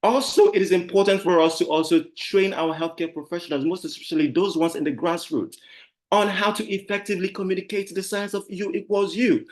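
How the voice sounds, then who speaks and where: A man talks as a panelist on an online call, in a fairly high voice for a man. He hesitates, reaches for words and corrects himself as he goes. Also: a little fast. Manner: hesitant, many fillers and self-corrections. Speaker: webinar panelist. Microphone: video call.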